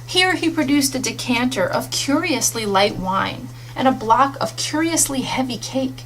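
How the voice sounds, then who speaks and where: A woman's voice: sits high, lively intonation, a little slow, a bit bright. A woman reading a literary story aloud as an audiobook narrator, in a scripted storytelling style. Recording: faintly noisy audiobook recording.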